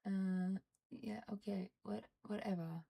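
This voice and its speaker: A young Norwegian woman streaming live, chatting casually and muttering and swearing at her software as she struggles with it. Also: medium pitch, fairly even intonation, slow pace, creaky, husky. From Norway. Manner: frustrated, swearing, muttering under her breath. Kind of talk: casual livestream chat while fiddling with software.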